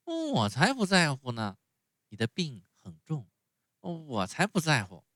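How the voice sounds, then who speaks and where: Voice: mid-range voice, huge pitch swings, a little slow, fairly bright tone, dry recording, studio quality, voice pushed up, full character voice. A storyteller voicing a stubborn, whining wooden puppet boy who is sick in bed. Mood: wailing. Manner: whining, pleading, wailing.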